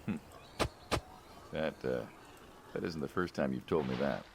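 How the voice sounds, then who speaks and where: A man playing a wry, needling mob hitman in a game, gravelly and probing, like an old friend asking questions. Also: a bass voice, measured pace, noisy background, heavily acted. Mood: wry, skeptical. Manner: wry, probing, drawling sarcasm. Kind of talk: naturalistic video game dialogue.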